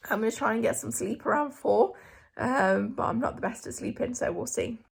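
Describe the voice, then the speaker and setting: A young woman, a junior hospital doctor, talking casually to camera in a vlog. Her voice is croaky from a cough and she sounds tired, with many ums. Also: fairly high pitch, fairly quick. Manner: chatty, tired, filler-heavy.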